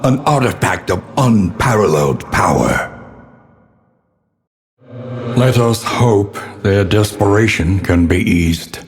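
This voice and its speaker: A man's voice: fairly low pitch, lively intonation, slow pace, pauses often. An actor playing a grave, weary, learned older man who narrates a gothic horror game with solemn, ominous, theatrical diction. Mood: solemn, challenging. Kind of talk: dramatic scripted gothic narration. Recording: long reverberant hall echo.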